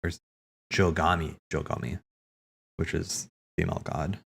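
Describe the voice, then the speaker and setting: A man's voice: low-pitched, fairly even intonation, moderate pace, gravelly. A man giving casual, off-the-cuff game commentary as a streamer, musing and thinking aloud in a rambling way. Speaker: streamer hosting a cutscene watch party.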